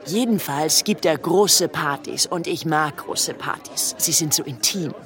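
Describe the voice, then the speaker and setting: A woman's voice: sits low, a little slow, fairly bright tone. An actress playing a nonchalant, teasing young society woman chatting in a radio play. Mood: blasé, teasing. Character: young society woman, blasé, playful, gossipy party-goer. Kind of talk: radio drama dialogue.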